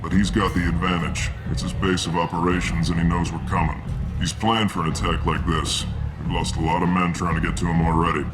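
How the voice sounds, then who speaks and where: An actor playing a hard-bitten mercenary in a video game, gruff, brusque and matter-of-fact. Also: male voice, low-pitched, little pitch movement, fairly quick, noisy background, close to an everyday voice. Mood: dismissive. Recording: music behind.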